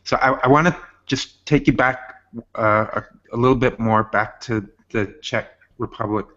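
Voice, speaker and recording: A man's voice: medium pitch, moderate pace, a bit bright. Man hosting a web-call interview. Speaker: host. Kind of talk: casual interview on a video call. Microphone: video call. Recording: dull web-call audio.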